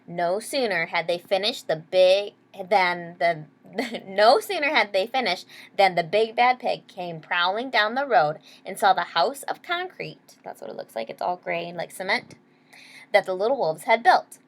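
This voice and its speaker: Female read-aloud storyteller, teacherly, expressive pitch, medium register, explains things to young listeners. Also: moderate pace. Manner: checks in with listeners using short questions. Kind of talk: children's picture-book read-aloud with explanations.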